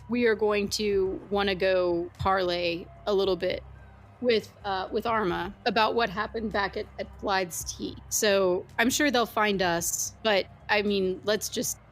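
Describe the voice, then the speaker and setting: A woman's voice: medium pitch, steady tone, normal speed. A woman playing a tabletop role-playing game, talking casually and improvising as she plans with the group.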